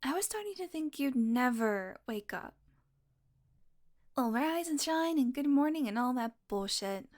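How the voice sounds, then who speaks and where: A woman's voice: high voice, expressive, measured pace, darkish tone. A woman playing a sardonic, flirtatious, possessive female vampire in an intimate audio roleplay, talking teasingly to the listener and sometimes dropping into a murmur. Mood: teasing, wry. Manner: sardonic, teasing, casually swearing, slipping into breathy murmurs.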